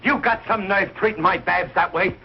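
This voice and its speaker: A man's voice: high-pitched, moderate pace, vocal fry, breathy edge, full character voice. An actor playing a middle-aged, big-hearted, blundering working-class American father in a 1940s sitcom, with colloquial, ungrammatical speech. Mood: indignant. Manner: colloquial, ungrammatical, plain-spoken. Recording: dull old broadcast with hiss and audience.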